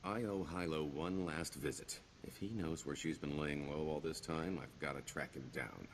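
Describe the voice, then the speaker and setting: An actor playing a roguish, glib smuggler and con artist in a video game, with a wry, theatrical delivery. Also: male voice, rumbling low, moderate pace, background noise. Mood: rueful, determined. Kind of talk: video game character dialogue.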